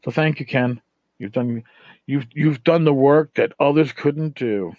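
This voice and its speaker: A man's voice: mid-range voice, a little slow, dry recording, lo-fi sound. A middle-aged man hosting a gaming podcast, rambling through a casual rant in a blunt, profane, exasperated manner. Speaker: tabletop gaming podcast host. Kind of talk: casual ranting monologue.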